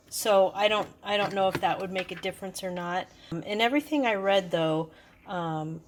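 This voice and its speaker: A woman giving a casual, hands-on product review, explaining things in a hesitant, unscripted way. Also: on the low side. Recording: clearly noisy background.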